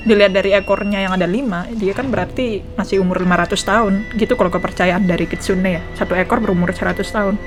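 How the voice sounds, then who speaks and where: A woman's voice: mid-range voice, steady tone, very fast, hardly any pauses. A woman gaming streamer with an Indonesian accent. She comments casually on what she plays in a mid-range voice, with faint game music underneath. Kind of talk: casual playthrough commentary mixing Indonesian and English.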